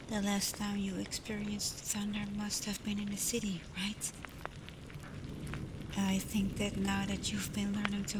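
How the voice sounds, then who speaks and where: Casting: woman to play protective female alpha werewolf for ASMR roleplay; hushed, unhurried, even delivery, gently teasing, loud storm ambience. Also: medium pitch, background noise, lightly acted. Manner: soft, breathy, often near a whisper, soothing. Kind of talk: ASMR roleplay, spoken intimately to the listener. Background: thunderstorm and rain ambience.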